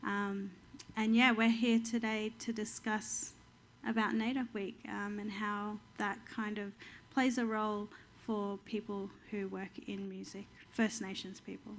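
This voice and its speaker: A woman's voice: medium pitch, flat delivery, a little slow, a little hiss or hum. A woman from the Northern Territory of Australia, a social worker, giving friendly housekeeping notes and an introduction, with frequent fillers. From Katherine, Northern Territory, Australia. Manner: plain, friendly, hesitant with fillers. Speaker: community engagement social worker.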